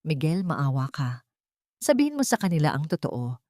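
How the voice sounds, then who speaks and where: Woman narrator voicing a pleading young woman, Filipino accent, desperate, low-pitched, dry audio-story recording. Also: sing-song, normal speed, warm tone, no room sound.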